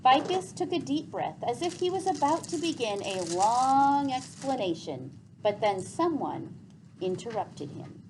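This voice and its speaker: A woman teacher reading a story aloud in a classroom, explaining and asking questions in clear phrases. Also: high voice, sing-song, measured pace. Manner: clear explanatory phrasing, repeats pupils' answers. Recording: faint classroom background noise.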